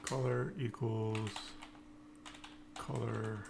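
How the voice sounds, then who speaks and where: A man giving an informal live-coding tutorial, thinking aloud in halting, piecemeal phrases. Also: on the low side, little pitch movement, vocal fry. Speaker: programming tutorial instructor. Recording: noticeable background noise, keyboard typing.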